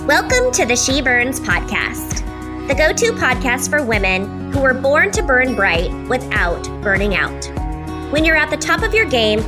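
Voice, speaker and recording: A woman hosting a podcast, upbeat and enthusiastic as she introduces and questions a guest. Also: a little high. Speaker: podcast host.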